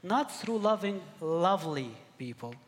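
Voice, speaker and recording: A middle-aged man from Egypt preaching a sermon, earnest and halting, often repeating words as he searches for the next one. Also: sits high, sing-song, in a large hall. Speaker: pastor.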